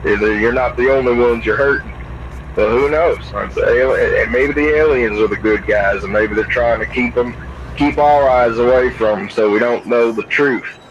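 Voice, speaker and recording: A man's voice: medium pitch, moderate pace. A man chatting casually over a game's CB radio, rambling and repeating words for emphasis. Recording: dull radio sound, loud vehicle noise. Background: truck and road sounds.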